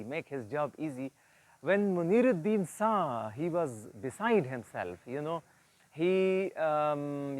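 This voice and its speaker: A man informally retelling an inspirational moral story in an earnest, didactic way, with halting phrasing, frequent pauses and repeated words. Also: on the high side, darkish tone, dry recording. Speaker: storyteller and presenter.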